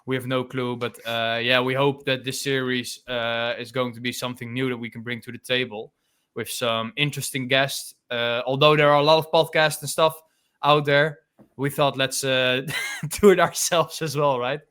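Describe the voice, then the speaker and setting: A man's voice: medium pitch, level tone, normal speed, a bit bright, clean tone. A man in his twenties from the Netherlands with a Dutch accent, co-hosting a casual history podcast in a loose, chatty way with many fillers. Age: 20s. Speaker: podcast co-host. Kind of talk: casual chat.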